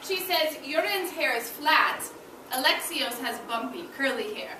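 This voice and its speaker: A woman's voice: high voice, expressive, unhurried. A woman teaching young children as an elementary school teacher, instructing them and prompting them to repeat after her. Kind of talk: interactive classroom read-aloud lesson to young children. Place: indoor school classroom. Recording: noisy echoey classroom, distant microphone. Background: room noise and children.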